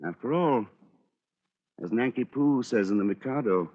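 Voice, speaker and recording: An actor playing a wry, urbane British schemer, coolly amused, in a 1940s radio drama. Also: male voice, mid-range voice, expressive, moderate pace, natural voice. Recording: dull, reverberant old radio recording.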